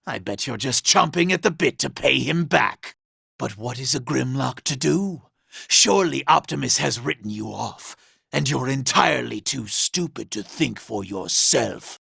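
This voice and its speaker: A treacherous, self-loving robot villain from a video game. The voice is heavily gravelled and piercing, with big theatrical swoops in pitch. Every line is delivered with pompous, sneering contempt for lesser beings. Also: male voice, lively intonation, a little slow, bright, forceful tone, no room sound, studio quality, full character voice. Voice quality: raspy, gravelly. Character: vain, scheming, treacherous robot villain, cowardly under threat. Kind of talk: video game character acting.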